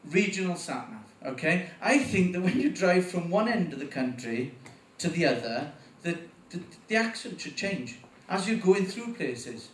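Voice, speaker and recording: A man with a Welsh accent performing a stand-up comedy set, getting animated at punchlines. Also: moderate pace, hardly any pauses, distant mic, a little hiss or hum. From Wales. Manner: animated, voice jumps up in pitch at punchlines. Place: comedy club stage. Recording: echoey room, voice from afar.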